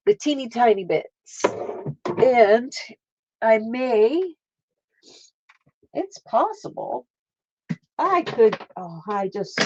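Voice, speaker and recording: A woman's voice: on the high side, darkish tone, highs cut off. A woman hosting a casual live art stream, chatting and laughing with viewers as she works, with a slight Canadian accent. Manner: chatty, giggly, talks to viewers while crafting.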